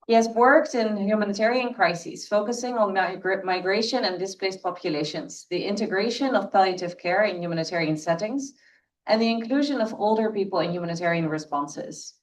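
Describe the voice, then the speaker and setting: A woman's voice: mid-range voice, a little fast, recorded from a distance, low-quality recording. A woman moderating an event, reading a formal speaker introduction from paper.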